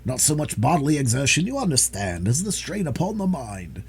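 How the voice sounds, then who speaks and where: A man voicing a pompous, boastful, excitable police detective in a storybook reading. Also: mid-range voice, brisk, vocal fry, husky, pitched above the performer's own voice, heavily acted. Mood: self-important.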